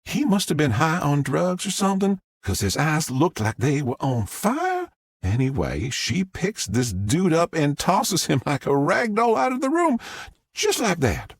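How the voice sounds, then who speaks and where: A man voicing an excitable middle-aged Southern mother who rambles through her story in a drawl. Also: fairly high pitch, a little slow, slightly rough, dry recording, pitched above the performer's own voice, heavily acted. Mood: excited. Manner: rambling, breathless storytelling with restarts.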